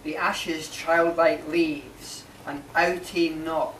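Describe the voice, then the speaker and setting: A man in his forties reads his poetry aloud, unhurried and phrase by phrase. He is heard from a distance in an echoey space, with clear background noise. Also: a little high, fairly even intonation, fairly bright tone. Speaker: poet reading own work. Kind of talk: live poetry reading, short measured phrases. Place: marquee with a small seated audience. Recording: distant, echoey, noisy live recording.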